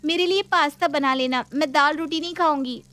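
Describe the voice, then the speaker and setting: A woman plays a young twin from a family story, full of mischief. She speaks high, with a teasing, slightly whiny and demanding manner. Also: a little fast, a bit bright, dry recording. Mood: playful.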